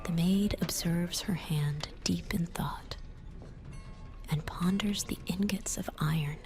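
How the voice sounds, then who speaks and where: A woman acting as an eerie, solemn narrator of a radio play, in a hushed voice. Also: lowish voice, takes it slowly. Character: eerie, brooding female storyteller of bleak tale. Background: music.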